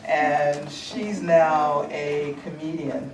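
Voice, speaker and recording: A woman's voice: low voice, little pitch movement, drawn-out words. A middle-aged American woman, an author on a panel, chatting casually and joking as she talks about her book. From United States. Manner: chatty, joking, laughs at her own lines. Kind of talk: casual conference talk. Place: large conference hall. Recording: echoey hall, distant, noisy audience.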